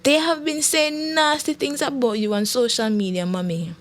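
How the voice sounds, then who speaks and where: A woman's voice: high-pitched, steady tone, moderate pace, pitched above the performer's own voice, natural voice. A woman voicing an affectionate, concerned young girl in a story, speaking with emphasis. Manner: emphatic, sweet.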